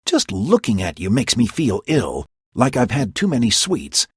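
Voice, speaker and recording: A man's voice: medium pitch, wildly swooping pitch, moderate pace, husky, no echo, clean studio sound, pitched above the performer's own voice, exaggerated voice. Lysander, a scornful fickle young man, as a man plays him.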